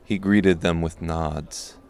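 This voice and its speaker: A man reading scripted narration for a dark fantasy audiobook. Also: low voice, steady tone, slow pace, clear, resonant tone.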